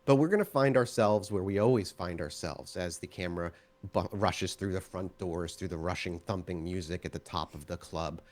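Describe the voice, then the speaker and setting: Low-voiced man as game master. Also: normal speed, darkish tone. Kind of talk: descriptive narration of a tabletop roleplaying game.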